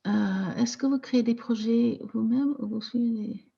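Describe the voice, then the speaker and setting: A woman teaching French as a second language, talking casually with slow, hesitant speech and frequent restarts. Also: fairly high pitch, gravelly, dark tone, breathy edge, muffled recording. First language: not French. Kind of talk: casual discussion in a video meeting.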